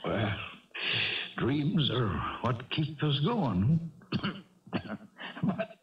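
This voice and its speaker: An actor playing a proud, broke Irish racehorse trainer, kindly and stubborn, with a folksy lilt, in a radio drama. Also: male voice, medium pitch, very animated, extremely slow. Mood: wry, resigned. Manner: folksy lilting turns of phrase. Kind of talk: 1970s radio drama acting. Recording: dull, distant 1970s radio recording.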